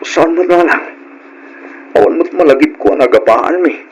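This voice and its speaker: An actor playing a troubled, placating working husband in an Ilocano radio drama, on a noisy old recording. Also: male voice, a little high, moderate pace, close to an everyday voice. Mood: worried.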